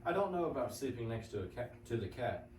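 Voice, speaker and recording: A teenager playing a wary, boastful rooster in a classroom reader's theater, distrustful and quick to bicker. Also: medium pitch, sing-song, close to an everyday voice. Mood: suspicious. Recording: echoey classroom, distant mic, faint hum.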